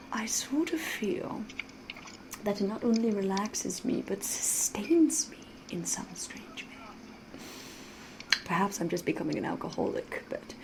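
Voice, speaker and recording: Woman playing a slow, breathy, flirtatious vampire lady. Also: medium pitch, noisy background. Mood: coy, flirtatious. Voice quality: breathy, soft, often whispering. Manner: coy, deliberate, ominous, theatrical, drawn-out phrasing. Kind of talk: ASMR roleplay, slow whispery storytelling.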